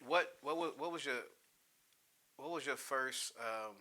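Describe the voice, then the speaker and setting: A man hosting an interview, asking questions haltingly with many restarts and trailing off quietly. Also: medium pitch. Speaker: interview host. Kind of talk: casual interview chat.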